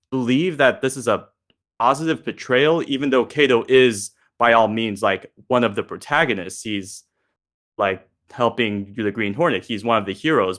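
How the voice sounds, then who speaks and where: A man hosting a panel discussion, posing questions in a measured, conversational way. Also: medium pitch, fairly bright tone. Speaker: podcast host moderating a panel.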